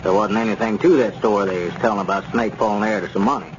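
A man playing a sensible, suspicious small-town friend in a 1930s radio serial, with a folksy, measured way of reasoning. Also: lowish voice, fairly even intonation, lightly acted. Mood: worried, suspicious. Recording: muffled, noisy 1930s radio recording.

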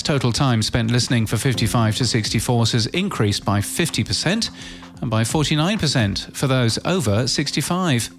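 A man presenting a scripted news bulletin in a crisp headline style with brief dry asides. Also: mid-range voice.

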